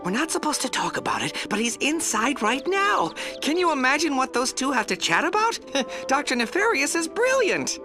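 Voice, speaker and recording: A giddy, devoted alien minion from a cartoonish game. The high, animated voice chatters naively over music. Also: female voice, normal speed, hardly any pauses. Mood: adoring, dopey. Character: giddy, brainwashed alien worker devoted to villain. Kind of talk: animated game cutscene acting. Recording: music behind voice.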